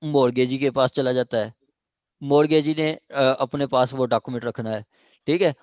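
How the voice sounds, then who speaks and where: Pakistani male law lecturer, unhurried explaining. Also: medium pitch, steady tone, darkish tone, no room sound. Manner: explains slowly with many fillers, checks understanding. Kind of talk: classroom lecture on evidence law.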